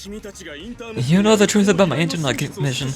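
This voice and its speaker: A woman's voice: lowish voice, animated, moderate pace, music in the background, pitched below the performer's own voice, lightly acted. A woman voicing an anxious, eager young male police officer in a video game, stammering and earnest. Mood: earnest pleading. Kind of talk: video game dialogue read aloud in character.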